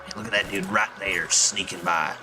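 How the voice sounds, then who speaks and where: A man's voice: low voice, steady tone, moderate pace. A man giving casual, rambling gameplay commentary as a streamer, jokey and profane. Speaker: video game streamer. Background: game music.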